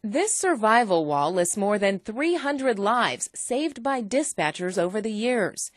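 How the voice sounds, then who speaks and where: A woman's voice: mid-range voice, big pitch swings, measured pace, no room sound, clean studio sound. A woman reading scripted documentary narration as the narrator of a local news-style segment.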